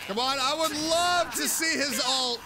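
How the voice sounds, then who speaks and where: A woman giving casual, slangy gameplay commentary as a streamer, animated and quick to exclaim. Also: high voice, very noisy. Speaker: streamer, game player. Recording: game music behind the voice. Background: video game music.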